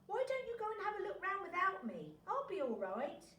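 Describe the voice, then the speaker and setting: A woman's voice: huge pitch swings, brisk, a bit bright, a little hiss or hum, heavily acted. A woman voicing a patient, independent young boy in a storybook, calling out in a raised voice. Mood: busy, calling out. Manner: raised, high-pitched calling delivery. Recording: roomy echo, distant from microphone.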